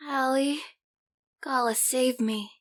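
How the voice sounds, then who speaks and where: High, girlish, eager young woman acted by a woman. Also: steady tone, slow pace. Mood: awed, adoring. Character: eager, cheeky, soft-hearted young woman, police trainee. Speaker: voice actor in an audio roleplay. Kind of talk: audio roleplay acting.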